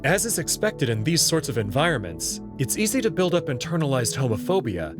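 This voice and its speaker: A man reading scripted narration and commentary as narrator and host, articulate and expressive. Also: fairly high pitch, fairly quick, over music.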